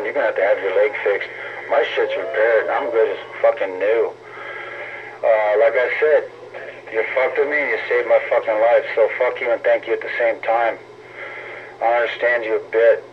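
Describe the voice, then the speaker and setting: Male CB operator, narrow tinny transmission with light noise; sarcastic, foul-mouthed, needling in casual argument. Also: on the low side. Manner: profane, taunting, sarcastic. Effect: CB radio transmission. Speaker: CB radio operator in an on-air argument. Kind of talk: heated casual trash talk over CB radio. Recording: tinny narrow-band CB radio, noisy static.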